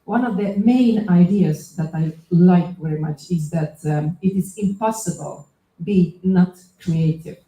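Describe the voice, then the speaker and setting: Dark-voiced woman from Estonia, hesitant lecture. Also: fairly low pitch, measured pace. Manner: hesitant, many fillers and restarts. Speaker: associate professor, conference speaker. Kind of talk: academic conference talk. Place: lecture hall. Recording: echoey hall.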